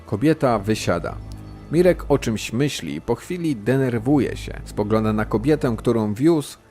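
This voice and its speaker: A man's voice: mid-range voice, animated, a little slow, darkish tone, soft background music. A man reading scripted present-tense narration for an audio drama.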